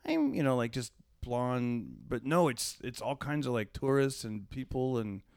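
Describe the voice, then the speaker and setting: Lively, rambling middle-aged man. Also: mid-range voice, unhurried, vocal fry, dry recording, clean studio sound. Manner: rambling, animated storyteller. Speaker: comedian guest. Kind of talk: casual podcast chat.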